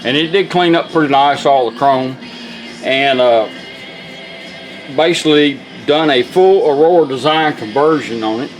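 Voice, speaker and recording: A man's voice: mid-range voice, unhurried, faint music behind, poor audio. A man giving an informal product demonstration in a folksy, plainspoken way. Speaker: presenter demonstrating a restored car radio.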